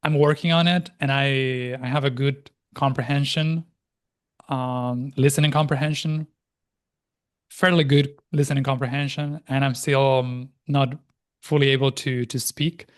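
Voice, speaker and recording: A man in his thirties from Argentina, a native Spanish speaker, talking reflectively as an interview guest with frequent restarts and pauses. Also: medium pitch, steady tone. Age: 30s. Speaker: interview guest, life and language coach. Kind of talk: casual interview conversation.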